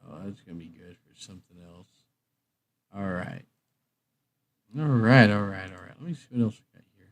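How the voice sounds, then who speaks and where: Low-pitched man rambling casually on livestream. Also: animated, normal speed. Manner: hesitant, thinking aloud. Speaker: livestream host.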